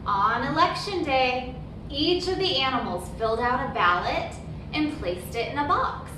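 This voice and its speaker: A woman's voice: sits high, animated, unhurried. Echoey, distant woman reading a children's story. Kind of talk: read-aloud storytelling with brief asides. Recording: very echoey room, distant mic, noisy background.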